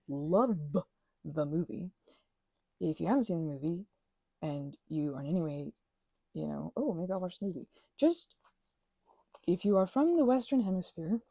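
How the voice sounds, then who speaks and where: A woman hosting a soft-spoken ASMR channel, chatting enthusiastically while she crafts, often trailing off and restarting. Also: on the low side, creaky, slightly rough, dry recording.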